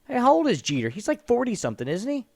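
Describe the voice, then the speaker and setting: A man's voice: very high voice, huge pitch swings, fast talker, vocal fry, dry recording, studio quality. A man co-hosting a podcast, chatting about sports in a blunt, informal and emphatic way. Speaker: podcast co-host. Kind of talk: casual opinionated sports chat.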